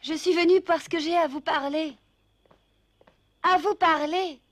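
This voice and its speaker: A woman's voice: extremely high pitch, fairly even intonation, unhurried, no echo. A woman playing a kind young fairy in a cartoon dub, composed and earnest. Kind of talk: animated cartoon dub acting.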